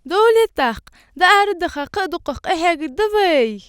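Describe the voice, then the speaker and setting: A woman voicing a young, chatty child in a dubbed animated family cartoon. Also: very high-pitched, brisk. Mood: lively. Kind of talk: cartoon dubbing.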